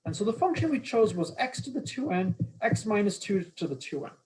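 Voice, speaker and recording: A man's voice: high voice, very animated, a little fast, a little mellow, rough recording. A man with a Boston accent giving an academic math lecture, explaining slides in an informal, enthusiastic way with casual asides. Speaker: mathematics lecturer.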